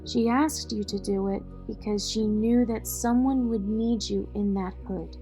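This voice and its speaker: A woman's voice: mid-range voice, fairly even intonation, unhurried, dark tone, lightly acted. A woman acting a loyal, no-nonsense ally of a vigilante in an audio drama. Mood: supportive, firm. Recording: faint music behind the voice.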